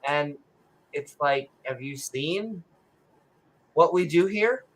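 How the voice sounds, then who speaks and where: A woman's voice: deep voice, slow pace. A woman co-hosting a podcast in casual chat, telling jokey stories and swearing freely. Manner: animated, swearing, joking storyteller. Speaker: co-host.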